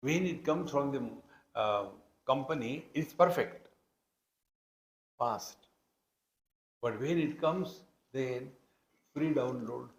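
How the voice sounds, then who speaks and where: A man's voice: mid-range voice, slow, pauses often. An echoing, roomy recording carries a man's mid-range voice with an Indian accent. He speaks as a swami teaching scripture, conversational in tone, leaning on and stretching key phrases for emphasis. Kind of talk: spiritual discourse expounding scripture. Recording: roomy, echoing hall sound.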